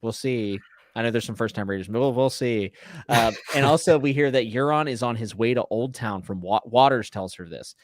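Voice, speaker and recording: A man's voice: mid-range voice, fairly quick, no echo, studio quality. A man co-hosting a live readalong chat, talking casually about a book.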